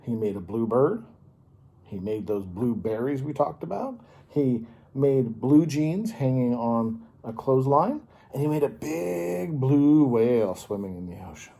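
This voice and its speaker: A man reads a picture book aloud to children. His pitch swings expressively and he lingers with dramatic pauses. His voice is mellow and a little husky. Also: lively intonation. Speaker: storyteller, host of a children's story series.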